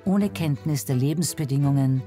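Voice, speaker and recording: Documentary narrator, medium-pitched, scripted delivery over a prominent music bed. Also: moderate pace.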